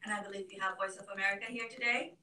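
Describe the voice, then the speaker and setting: A woman from Afghanistan giving a formal, partly read introduction as event host, speaking in a halting, hesitant way. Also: medium pitch, fairly even intonation, pushed and bright. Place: echoey room at a public event. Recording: echoey room, distant microphone.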